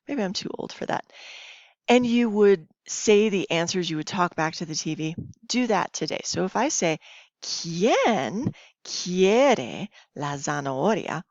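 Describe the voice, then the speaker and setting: Slow-talking woman teacher, lowish voice, roomy sound. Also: a little mellow. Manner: instructive, checks in with short tag questions. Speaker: Spanish teacher. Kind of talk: recorded classroom lesson, reading slides aloud. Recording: dull lo-fi, roomy home recording.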